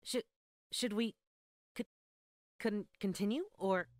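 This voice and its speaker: A man's voice: sits high, takes it slowly, dry recording, studio quality. A man playing a shy, earnest young boyfriend with a stutter in a comic dub. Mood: sheepish.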